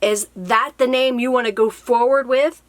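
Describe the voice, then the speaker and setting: A woman's voice: a little high, steady tone, normal speed, no echo. A middle-aged woman giving casual instructional advice to camera in an explanatory way, often posing questions and then answering them. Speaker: craft video host and blogger. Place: small cluttered indoor craft room.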